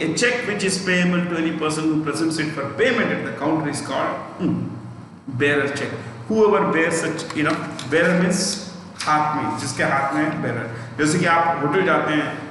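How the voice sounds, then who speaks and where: A man's voice: a little high, quick pace, a bit bright. A man with an Indian accent teaches a banking exam lesson, repeating and spelling out key phrases and checking in with the listener. He is not a native Hindi speaker. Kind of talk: live exam lesson, explaining and dictating. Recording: echoey reverberant room, distant mic, faint noise.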